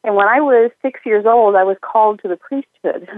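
A woman minister telling her life story as an interview guest, measured and pausing with fillers. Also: mid-range voice, no echo. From United States and northern Italy. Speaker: minister and money coach guest. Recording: dry tinny phone line.

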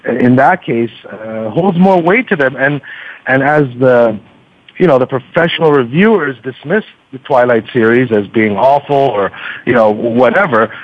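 A man talking as an interview guest in a conversational, halting way, often repeating words mid-sentence. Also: mid-range voice, no room sound. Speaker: podcast guest, book reviewer. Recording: dull, lo-fi radio audio.

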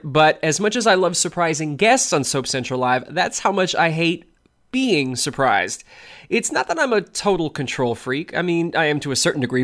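A man hosts the show and reads a prepared script in a measured, reflective storytelling voice. His pitch is slightly high for a man. He sounds steady and composed throughout. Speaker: radio show host. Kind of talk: scripted narration.

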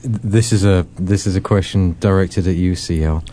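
A man's voice: sits low, fairly even intonation, normal speed, warm tone, a little hiss or hum. A man hosting a religious discussion, moderating conversationally and often repeating words as he frames his questions. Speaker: host of a religious discussion series.

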